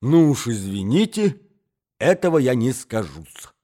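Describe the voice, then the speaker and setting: A man voicing an indignant, wounded young gentleman in a dramatic reading of a story, with exclamatory, emphatic speech. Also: mid-range voice, sing-song, measured pace. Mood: angry, defiant. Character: enraged, wounded young gentleman, rejected suitor.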